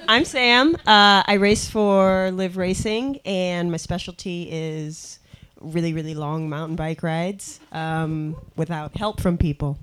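A woman's voice: lowish voice, takes it slowly, a bit bright, clean tone, some chatter behind. A woman cyclist introducing herself on a live panel, casual and full of fillers like um and like.

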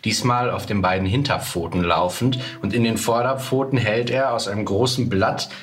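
A man's voice: on the low side, moderate pace, a little hiss or hum. A man narrating a fantasy role-play audio drama as the game master. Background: soft plucked guitar music.

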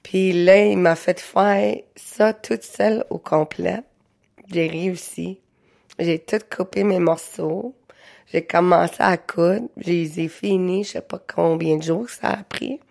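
Woman, thirties, Quebec French accent, halting, simple phrasing, creaky. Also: lowish voice, darkish tone. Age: 30s. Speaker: interviewee, craftswoman. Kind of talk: personal storytelling.

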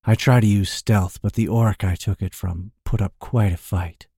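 A sly, smooth-talking rogue, as a male narrator plays him, purring his words slowly and grandly. His voice sits low and warm, and the sound is dry and close. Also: fairly even intonation, dry recording.

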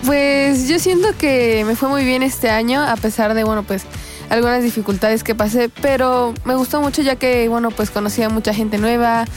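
A teenage girl, chatting on a school radio show, hesitant and filling pauses as she talks. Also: fairly high pitch, faint music behind. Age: teens. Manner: hesitant, lots of fillers. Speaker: student radio co-host. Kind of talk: casual radio chat.

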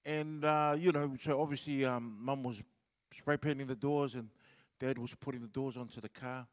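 A man's voice: medium pitch, steady tone, normal speed, long reverb tail. A middle-aged New Zealand man whose first language is Samoan, telling a rambling stand-up story full of fillers. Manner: laid-back and rambling, with many fillers. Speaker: comedian.

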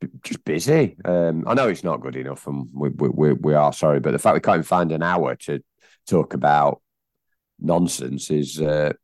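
A hoarse man, co-hosting a casual football podcast with dry, teasing banter. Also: very deep voice, animated, normal speed, a little mellow, no room sound. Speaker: podcast co-host. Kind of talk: casual chat.

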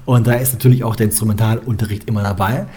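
A man's voice: lowish voice, steady tone, very fast, dark tone, slightly rough. A young man, a musician, talking casually and at length as an interview guest, with lots of filler phrases. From Germany. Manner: chatty, rambling, with filler phrases. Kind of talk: relaxed conversational interview.

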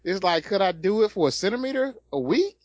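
Fairly high, lively man, laid-back co-host. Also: fairly quick, no echo, muffled recording. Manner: laid-back, laughing, stammers and restarts. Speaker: podcast co-host. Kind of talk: casual joking chat.

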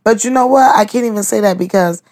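A woman's voice: medium pitch, fast, darkish tone. A woman chatting casually on a podcast, frank and dismissive, with some swearing. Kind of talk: casual chat.

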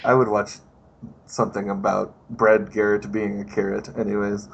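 A man's voice: lowish voice, flat delivery, moderate pace, gravelly, highs cut off, a little hiss or hum. A young man guesting on a podcast, joking casually with lots of hesitant fillers.

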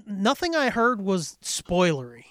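High-voiced, blunt, sweary man. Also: sing-song, a little slow, a bit bright, no echo, studio quality. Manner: blunt, freely profane. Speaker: podcast co-host. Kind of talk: casual, opinionated film chat.

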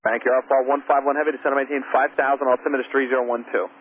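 A man working as an approach controller, giving brisk procedural instructions over aviation radio. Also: mid-range voice, level tone, slightly rough. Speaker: air traffic approach controller. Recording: noisy narrow-band aviation radio.

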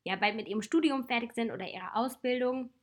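A woman in her twenties with a slight cold, hosting a casual personal advice talk in a reflective, chatty way with soft laughs. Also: a little high, quick pace. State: has a cold.

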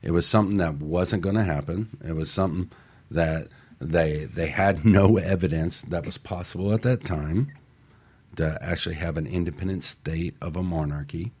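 A low-pitched man speaks as a co-host on motivational talk radio. He makes his points emphatically, in firm declarative statements, and repeats the key ones. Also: moderate pace, a little mellow, breathy edge.

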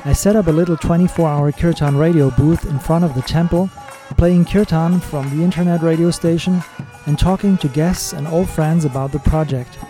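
A man hosting a podcast, reading his scripted episode introduction and news in a measured, steady way. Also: on the high side, faint music behind. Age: adult with children. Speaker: podcast host.

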